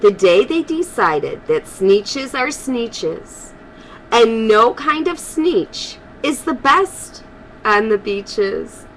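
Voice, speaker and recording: An older woman reads a children's story aloud. Her voice is fairly high and swings expressively, with slow phrasing and emphatic pauses, and there is faint noise in the home recording. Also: rough recording. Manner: animated, pausing for emphasis. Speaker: grandmother reading to children.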